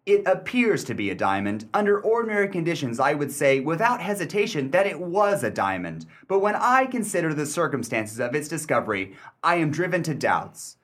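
A man playing a shrewd, suspicious gentleman collector in a radio play, theatrical and wordy, with mock-courteous condescension. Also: a little high, sing-song, fairly quick, few pauses. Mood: skeptical, musing. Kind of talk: old-fashioned radio play acting.

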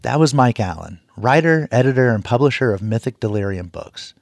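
A man's voice: mid-range voice, lively intonation, a little fast, vocal fry, no echo, clean studio sound. A man hosting a public radio program, moving between interview questions and scripted narration. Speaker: radio host.

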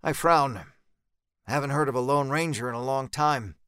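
A man reads scripted horror fiction in the first person, tense and dramatic. His voice is smooth and bright, recorded very dry, with deliberate phrasing. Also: mid-range voice, dry recording. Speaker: story narrator.

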